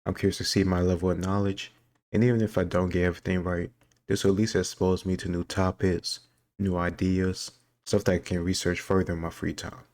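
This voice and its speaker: A man's voice: low voice, darkish tone, no room sound. A man hosting a casual reaction video, thinking aloud in a reflective way as he gives his commentary. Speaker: video host taking a history quiz.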